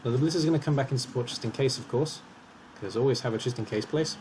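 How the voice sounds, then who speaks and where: A man commentating his own match in a calm, analytical way as he talks through each move, slightly hoarse. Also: mid-range voice, quick pace, a little hiss or hum. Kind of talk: casual game commentary.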